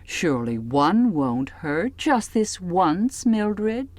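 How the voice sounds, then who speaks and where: A woman's voice: lowish voice, sing-song, slow, darkish tone, no echo, pitched above the performer's own voice, heavily acted. Woman putting on a sugary, patronizing matronly wife. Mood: fussy, indulgent. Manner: falsely kind, genteel, condescending.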